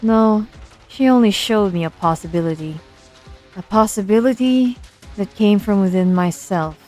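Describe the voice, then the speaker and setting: A woman voicing an aloof young banshee engineer, measured and quietly solemn, in a fan-dubbed game drama. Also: medium pitch, natural voice. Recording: background music under the voice.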